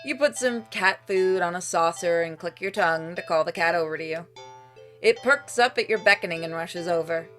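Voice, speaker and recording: A woman reading a visual novel game's narration aloud in an expressive storytelling way, with casual, dryly humorous asides. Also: lowish voice, normal speed, soft background music. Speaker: streamer playing and narrating a game.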